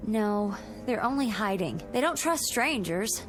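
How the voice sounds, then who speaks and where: An actress playing an anxious, soft-hearted wife in a mid-century television drama, earnest and fretful. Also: female voice, medium pitch, expressive, measured pace, music in the background, close to an everyday voice. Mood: hesitant, worried.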